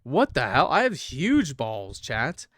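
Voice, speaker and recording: Man, game streamer, mid-pitched voice, reading aloud, joking casual asides, stumbles over lines, very dry recording. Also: wildly swooping pitch, takes it slowly, fairly bright tone, dry recording. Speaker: streamer playing and reading a visual novel.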